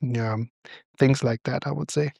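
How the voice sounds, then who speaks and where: A man's voice: mid-range voice, steady tone, a little slow, gravelly, darkish tone, no echo, studio quality. A young German man with a German accent, co-hosting a podcast, answering questions in a thoughtful, hesitant way and laughing often. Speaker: podcast co-host. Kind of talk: casual chat.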